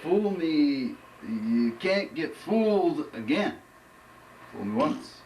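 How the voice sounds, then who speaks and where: A man reciting a quotation haltingly, repeating phrases as he goes. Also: on the high side, very animated, darkish tone. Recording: distant mic, faint noise.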